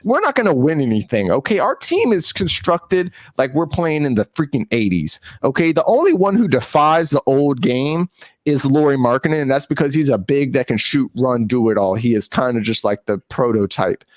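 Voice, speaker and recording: A man co-hosting a podcast, talking sports and teasing his friends in slangy, animated casual chat. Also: medium pitch, moderate pace, no room sound. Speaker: podcast co-host.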